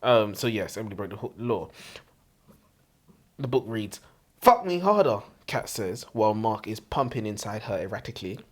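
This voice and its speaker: A man's voice: fairly low pitch, animated, normal speed. A man co-hosting a casual book discussion, posing questions in an irreverent, joking way. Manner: questioning, crude joking banter. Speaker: co-host of a book review show. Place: warm room, seated in comfy chairs.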